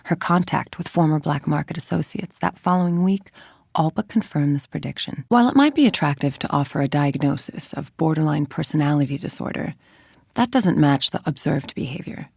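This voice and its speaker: A calculating, middle-aged female villain scientist from a video game. Her voice is low and gravelly, recorded very dry and close. She speaks with clipped authority and cool, controlled menace. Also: fairly quick, a little mellow, no room sound, exaggerated voice. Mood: cold, measured.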